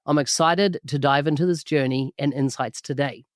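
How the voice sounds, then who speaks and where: A man's voice: a little high, steady tone, normal speed, no echo, studio quality. A man hosting a podcast, enthusiastic and full of praise. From New Zealand. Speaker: podcast host. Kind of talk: podcast interview.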